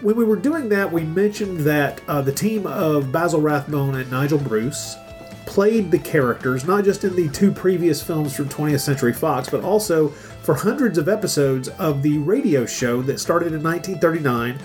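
A man's voice: a little high, expressive, normal speed, a little mellow, soft background music. A man hosting a podcast in a casual, chatty, rambling and informal way. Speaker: podcast host. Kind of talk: casual podcast introduction and chat.

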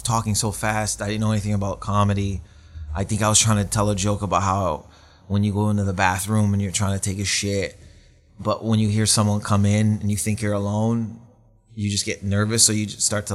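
A man's voice: fairly low pitch, flat delivery, moderate pace. A man in his late thirties from Canada, a stand-up comedian telling stories in a casual interview, rambling and self-deprecating with slangy asides. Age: 30s.

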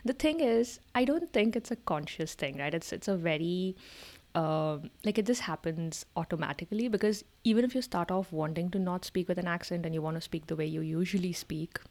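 A woman's voice: fairly low pitch, fairly quick, a little mellow. A woman in her thirties with an Indian accent, co-hosting a podcast in a casual chat, explaining things with fillers and laughs. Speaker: podcast co-host.

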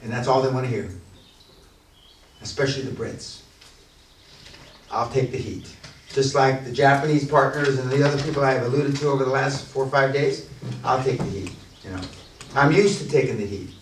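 A man's voice: mid-range voice, moderate pace, pauses often, slight background noise. A man leading a business seminar, talking bluntly and boastfully with plenty of profanity and restarts. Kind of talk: unscripted seminar talk to a room. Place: large echoey room at a seminar venue. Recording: echoey room, distant mic.

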